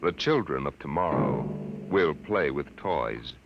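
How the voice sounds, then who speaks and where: A man hosting a 1950s radio show, giving a dramatic teaser in an ominous, measured way. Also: extremely low pitch, expressive, gravelly, loud music behind the voice. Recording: muffled, very noisy old radio broadcast.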